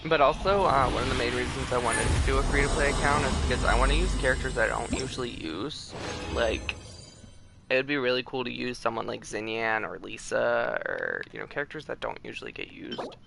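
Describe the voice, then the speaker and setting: A man's voice: medium pitch, creaky, noisy background. A man chatting casually as he streams a game, rambling with mock-exasperated asides. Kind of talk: casual livestream chat while gaming. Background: loud game music.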